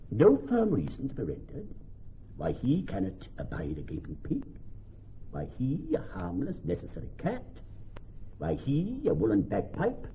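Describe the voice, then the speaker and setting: An actor playing a vengeful, defiant moneylender in a Shakespearean radio drama, with theatrical, biting emphasis. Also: male voice, fairly low pitch, exaggerated voice. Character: vengeful, defiant male moneylender with bitter scorn. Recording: muffled, faintly noisy old broadcast recording.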